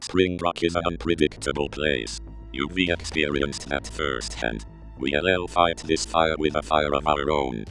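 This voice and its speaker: Male actor as crime boss, extremely deep voice, curt, calm, authoritative, audio drama, faint music. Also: unhurried, full character voice, poor audio. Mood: calm, assured. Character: cold, commanding male crime boss giving orders. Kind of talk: scripted audio drama roleplay.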